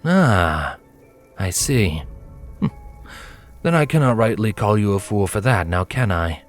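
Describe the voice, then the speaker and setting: A man's voice: fairly low pitch, lively intonation, unhurried, faint music behind. A man voicing a smug, menacing bat-pony spy master who speaks in a purring, formal, condescending way in a fantasy story reading. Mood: amused musing.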